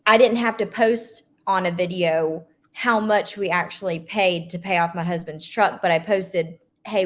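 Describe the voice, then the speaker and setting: A woman guest on an interview podcast, telling her story in a friendly, matter-of-fact way. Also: fairly low pitch. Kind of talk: casual interview chat.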